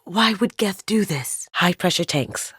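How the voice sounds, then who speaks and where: A young woman, a master thief fighting alongside a space squad in a video game. She is playful and wry, tossing out casual quips with a lively, mobile pitch. The recording is close and dry. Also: measured pace, breathy edge, no echo, exaggerated voice.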